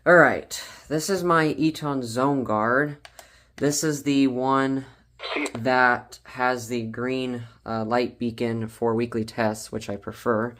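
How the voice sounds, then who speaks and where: A man's voice: medium pitch, fairly even intonation, slow pace, fairly bright tone. A man giving a casual, rambling vlog-style showcase as an enthusiastic collector, often stumbling over his words. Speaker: collector showing weather radios and scanners.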